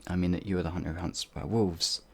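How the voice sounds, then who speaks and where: A man's voice: low-pitched, fairly even intonation, quick pace, darkish tone, breathy edge. A man playing a timid, apologetic werewolf farmhand in an audio roleplay, hesitant and rambling, stammering as he goes. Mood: nervous, apologetic. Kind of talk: ASMR audio roleplay monologue.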